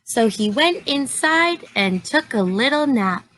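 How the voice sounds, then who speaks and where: A woman reads a picture book to young children in a slow, deliberate storytelling style. Her voice is bright and fairly high. She is expressive and breaks off to explain things and put simple questions to her listeners. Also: slight background noise, low-quality recording. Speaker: teacher reading aloud to young children.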